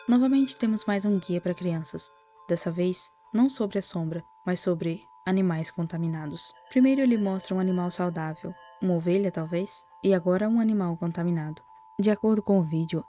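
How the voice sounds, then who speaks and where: A Brazilian woman narrating a scripted horror commentary in a soft-spoken way, with lightly wry asides. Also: fairly low pitch. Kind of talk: whispered-style scripted narration, storytelling commentary. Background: music.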